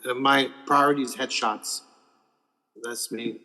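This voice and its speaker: A man's voice: medium pitch, fairly even intonation, a little slow, pushed and bright, in a large hall. A man who directs films, talking loosely in a panel chat with many restarts over a video call. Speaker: film director.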